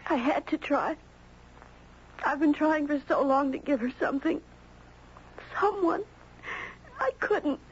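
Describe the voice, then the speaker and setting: A woman playing an earnest, wistful young girl with halting, sincere speech in a 1970s radio drama. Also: high-pitched, expressive, a little hiss or hum. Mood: plaintive, guilty. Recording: dull 1970s radio broadcast.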